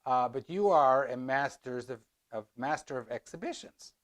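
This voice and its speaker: A man's voice: medium pitch, expressive, normal speed. Teasing male interview host. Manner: joking, teasing. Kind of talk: casual interview chat.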